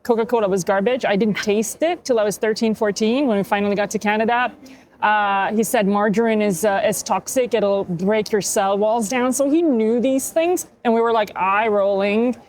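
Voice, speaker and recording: A woman's voice: medium pitch, normal speed, a little mellow, a little hiss or hum. A woman in her fifties, from Hungary, a health practitioner telling her story candidly as a podcast guest, with plenty of fillers. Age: 50s. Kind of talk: conversational interview.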